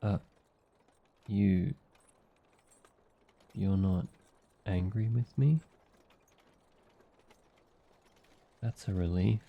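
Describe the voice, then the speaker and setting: A man playing a gentle, devoted noble demon familiar in a boyfriend ASMR roleplay, speaking softly in a tender, earnest, unhurried way. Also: lowish voice, vocal fry, dull audio, exaggerated voice. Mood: apologetic, tender.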